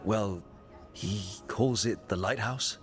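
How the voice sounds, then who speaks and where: A man's voice: fairly low pitch, takes it slowly, soft background music. A man playing a resolute, earnest fantasy game hero, firm and plain-spoken.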